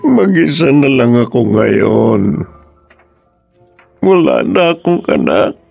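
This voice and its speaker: A man's voice: medium pitch, sing-song, slow, vocal fry, slightly rough, lo-fi sound, faint music behind, heavily acted. An actor playing a sorrowful, frail old grandfather, grieving and tearful, in a Filipino radio drama. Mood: mournful. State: crying, grieving.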